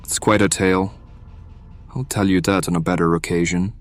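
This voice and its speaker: Actor as a deep-voiced, steady male leader. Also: moderate pace, close to an everyday voice. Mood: composed. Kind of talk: audio drama acting.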